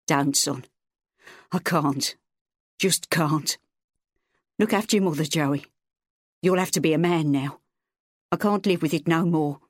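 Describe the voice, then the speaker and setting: Woman doing a drunk, bitter father yelling. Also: low voice, unhurried, long pauses, dry recording, studio quality. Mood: defeated. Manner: yelling, slurring, coarse. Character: drunk, bitter, stooped working-class man, father.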